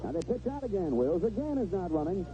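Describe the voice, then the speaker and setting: Male 1970s radio baseball play-by-play man, higher-pitched, clipped phrasing, dull noisy AM sound. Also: sing-song, fast. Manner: clipped phrases, describing each play as it happens. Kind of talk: live radio sports commentary. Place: stadium radio booth.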